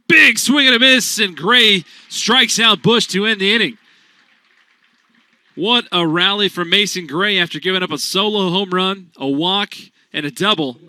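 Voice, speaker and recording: A young man delivering radio baseball play-by-play with a high, very bright, ringing voice. His calls are punchy and animated, in classic sportscaster fashion. He keeps the action moving for listeners who cannot see the field. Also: no room sound. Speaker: play-by-play announcer.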